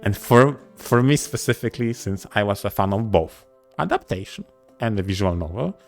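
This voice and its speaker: A man co-hosting a podcast in casual discussion, speaking in a deliberate, halting way. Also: on the low side, lively intonation, gravelly, soft background music. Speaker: podcast co-host. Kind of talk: casual discussion of visual novels.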